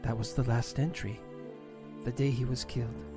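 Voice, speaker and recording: A man's voice: medium pitch, flat delivery, moderate pace, music in the background, close to an everyday voice. A man voicing a serious, determined young woman, a grieving daughter, in an audio drama. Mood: serious, subdued. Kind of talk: audio drama character acting.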